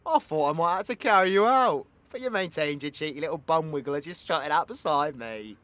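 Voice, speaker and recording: A man's voice: a little high, animated, a little fast, a bit bright. A British man playing a cocky, foul-mouthed but protective supervillain in an audio roleplay, with brash, teasing, slangy delivery. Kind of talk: audio roleplay acting addressed to the listener.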